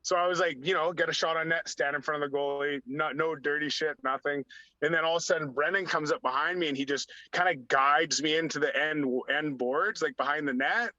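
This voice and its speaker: A man, a podcast guest, telling animated stories with a slightly raspy voice, acting out what people said and filling his talk with "like". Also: on the high side, brisk, no echo. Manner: acts out dialogue, heavy use of "like". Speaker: podcast guest, former hockey enforcer. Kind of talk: animated storytelling. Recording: dull lo-fi sound.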